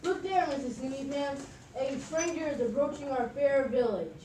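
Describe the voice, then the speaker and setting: Small-town mayor for a puppet play woman acting: fussy, wary of outsiders, high voice, far from the mic in a roomy space. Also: moderate pace, warm tone, natural voice. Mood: alarmed. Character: fussy village mayor, suspicious of strangers. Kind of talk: puppet show read from a script. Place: echoey indoor meeting room at a library. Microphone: far camera microphone. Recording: distant mic, echoey room, faint noise.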